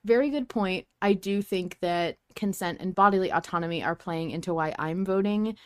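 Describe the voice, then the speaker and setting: Female podcast host, lower register, conversational delivery, close dry recording. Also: moderate pace, smooth, dry recording. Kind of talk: casual podcast chat.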